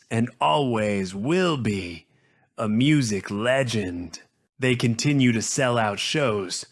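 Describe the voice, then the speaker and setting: A man narrating a scripted video essay as its host, in an earnest, dramatic manner with short, measured rhetorical phrases. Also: medium pitch, no room sound, studio quality.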